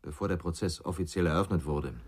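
A middle-aged former policeman in a radio crime drama. His voice sits low, and he talks in short, grave sentences with a brooding weight. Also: quick pace, darkish tone, breathy edge. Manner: terse, grave. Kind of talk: naturalistic radio drama acting.

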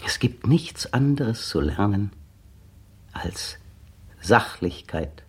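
An actor voicing a tense, bitter man in an inner monologue for a 1960 radio drama, with precise, brooding diction and a husky voice. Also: fairly low pitch, big pitch swings, slow pace, heavily acted. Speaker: male narrator of a radio play.

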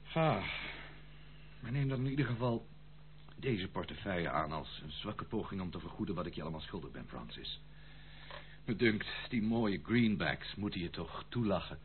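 Muffled old radio recording with hum, low-voiced prodigal son, charming, pleading, theatrical. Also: male voice, animated, moderate pace. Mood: wounded pride. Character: wayward prodigal son of a castle family, charming spendthrift. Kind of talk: old Dutch radio play acting.

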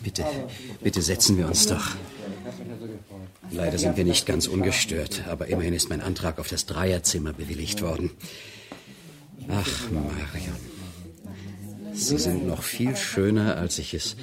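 An actor playing a cultivated, middle-aged male prison inmate and seducer in a radio drama, courteous and flattering with hesitant pauses. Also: sits low, a crowd in the background. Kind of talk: German radio drama acting.

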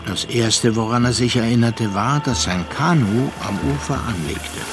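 A man's voice: medium pitch, lightly acted. Actor as composed male storyteller over music. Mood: reflective. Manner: measured, literary phrasing. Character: composed, reflective male storyteller recalling a friend. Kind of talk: literary narration in a German radio play. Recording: music under the voice.

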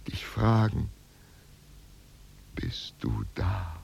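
An actor playing a wistful, brooding older man, halting and poetic, in a 1950s radio drama. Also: low voice, very animated, creaky, darkish tone, exaggerated voice. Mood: tender, wistful. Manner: halting, poetic, dropping to whispers. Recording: noisy old radio recording.

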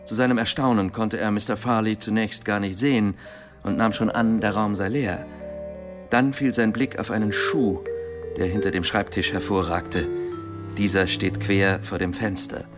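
An actor playing a factual police inspector in a radio play, reporting in a matter-of-fact, official manner. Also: male voice, fairly low pitch, fairly even intonation, normal speed, music in the background, close to an everyday voice. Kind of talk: German radio play acting.

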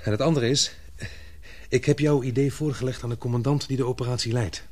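An actor playing a calm, protective, affectionate man in a radio drama, speaking in a reassuring, measured way. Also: medium pitch, lightly acted. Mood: gentle, informative. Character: Paul, calm, protective, affectionate male sweetheart.

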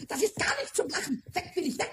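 Tiny impish kobold for radio play: very high, scratchy, swooping wildly, petulant. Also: male voice, lively intonation, fast talker, a bit bright, raised voice. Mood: indignant, petulant. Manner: impish, petulant, fond of rhyming. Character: tiny cheeky, whiny red-haired male kobold. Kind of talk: German children's radio play acting.

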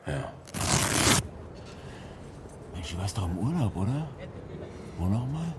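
An actor playing a jovial, boastful prison inmate who chats with irony in a naturalistic radio drama. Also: male voice, lowish voice, sing-song, slow. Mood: chatty, wry. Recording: heavy noise behind the voice.

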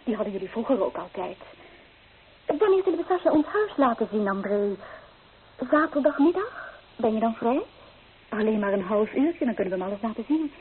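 An actress playing an eager, dreamy young provincial bride-to-be in a Dutch radio drama. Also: female voice, a little high, sing-song, brisk, long pauses. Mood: coaxing. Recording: tinny, hissy old radio play recording.

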